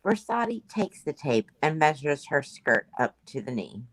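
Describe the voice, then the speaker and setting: A woman's voice: low voice, very animated, unhurried. A woman reading stage directions as scripted narration at a play table read.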